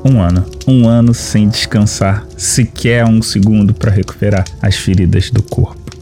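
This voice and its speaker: A man's voice: on the low side, steady tone, moderate pace, dark tone, natural voice. A man playing a naive, hopeful armored adventurer musing aloud to himself in an audio drama. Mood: weary musing. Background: music.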